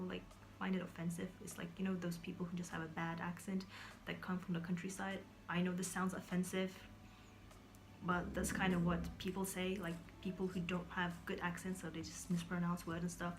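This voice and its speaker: A woman's voice: fairly low pitch, noisy background. A young woman from Kazakhstan talking to her viewers in a casual vlog, rambling, restarting sentences and sometimes dropping to a whisper. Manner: rambling, searching for words, many fillers and restarts; drops into whispers at times. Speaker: vlogger. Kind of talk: casual talk to camera.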